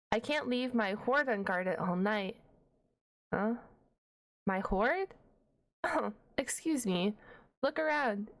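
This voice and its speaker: A woman playing a shy, nerdy, easily flustered young half-dragon librarian in an intimate ASMR roleplay, halting and stammering. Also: a little high. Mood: nerdy, proud. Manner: bashful, halting, stammering, nervous giggles.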